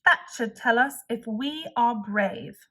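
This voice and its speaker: Friendly male frog for a storybook, earnest, eager to be brave, slightly high, deliberate, read by a woman. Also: bright, forceful tone, full character voice.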